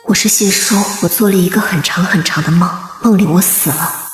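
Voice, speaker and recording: A woman's voice: fairly low pitch, brisk, lightly acted. Woman as proud young noblewoman, echoey sound. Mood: solemn, resolute. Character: proud, cool-headed reborn young noblewoman, resolute. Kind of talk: dramatic voice acting with inner narration.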